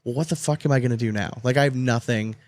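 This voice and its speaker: A man talks quickly in a dry, close recording, mid-pitched and casual. He hosts a sports podcast with slangy, animated storytelling and loose swearing. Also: no echo. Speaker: podcast co-host.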